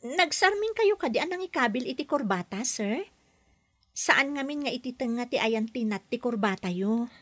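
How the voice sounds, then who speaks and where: A woman playing a patient nanny and housemaid in a radio drama, gentle and politely deferential. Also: fairly high pitch, lively intonation, moderate pace, no echo.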